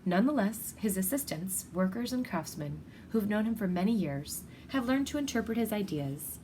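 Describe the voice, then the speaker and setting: Female storybook reader, mid-pitched, expressive and deliberate, recorded with audible background noise. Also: low-quality recording. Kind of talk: children's picture-book read-aloud.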